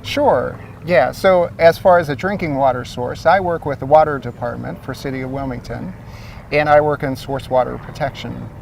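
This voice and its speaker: A man's voice: medium pitch, moderate pace, vocal fry, recorded from a distance, slight background noise, low-quality recording. A man from Ohio, a guest, explains how his city's water supply works in an easygoing way with some fillers, speaking outdoors by a lake. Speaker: source water protection coordinator, guest. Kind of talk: explanatory interview answers.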